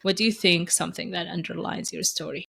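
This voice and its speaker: A woman hosting a podcast, telling stories in casual conversation with lively restarts. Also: fairly low pitch, fast, gravelly, breathy edge. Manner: animated storyteller, acts out quoted voices; frequent restarts and fillers. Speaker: podcast host.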